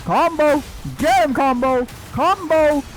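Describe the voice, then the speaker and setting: A man's voice: very high-pitched, slow, low-quality recording. A man giving casual commentary as he streams himself playing a video game. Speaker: gameplay streamer. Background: game music.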